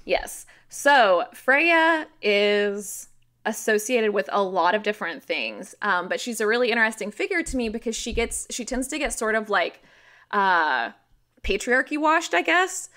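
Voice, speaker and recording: A woman guest on a podcast with a bright, crisp-edged voice at an average pitch. She explains things casually, haltingly working out her phrasing as she goes. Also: very animated.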